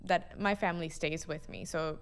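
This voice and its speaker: Young female guest from Pakistan, smooth low voice, relaxed and chatty. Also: moderate pace. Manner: casual, uses "like" often. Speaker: guest, neuroscience student. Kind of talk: conversational interview.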